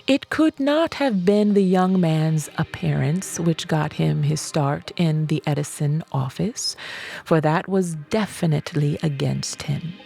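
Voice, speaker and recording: A woman reading an audiobook as scripted narration, in a measured, deliberate way with emphatic phrasing. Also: sits low, creaky, warm tone, faint music behind. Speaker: audiobook reader.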